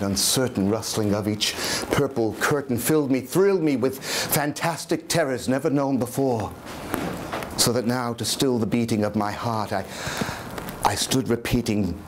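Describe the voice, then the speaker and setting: A man's voice: mid-range voice, close to an everyday voice. An older man reciting as the haunted, grieving narrator of a gothic poem, declaiming theatrically. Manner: dramatic, declaiming. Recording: noisy room recording, camera at a distance.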